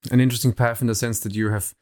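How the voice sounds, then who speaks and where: A man's voice: medium pitch, level tone, racing, darkish tone. A male podcast host, not a native English speaker, asking musing, searching interview questions. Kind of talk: interview conversation.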